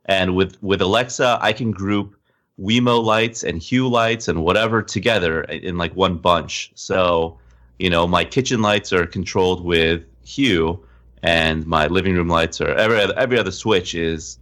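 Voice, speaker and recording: A man's voice: deep voice, normal speed, a bit bright. A man co-hosting a podcast, explaining tech in a casual, conversational way, step by step. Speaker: podcast co-host.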